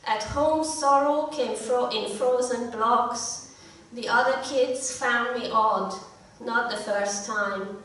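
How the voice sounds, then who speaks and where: A middle-aged woman with a slightly croaky voice, reading her poetry in a measured, deliberate way at a live event. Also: a little high, a bit bright, slight background noise. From Hungary. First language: Hungarian. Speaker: poet. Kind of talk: live poetry reading with short spoken introductions. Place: indoor live event room. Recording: echoey room, distant mic.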